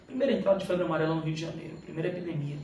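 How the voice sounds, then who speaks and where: A man's voice: on the high side, very fast, warm tone. A Brazilian man teaching a live exam-review lesson, emphatic and didactic, informal and slangy, often asking questions and answering them himself. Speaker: literature teacher. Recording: echoey room, distant mic, faint noise.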